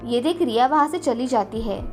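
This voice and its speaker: Woman reading a kids fairy tale, really high voice, soft music behind her. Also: animated, normal speed. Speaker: storyteller. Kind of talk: scripted children's fairy-tale narration. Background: faint background music.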